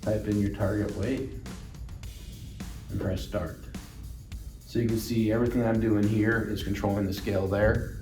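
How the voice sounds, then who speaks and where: A man's voice: lowish voice, steady tone, moderate pace, long pauses. A man giving an instructional product demonstration, explaining things plainly and step by step. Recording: roomy echo, distant mic, music underneath.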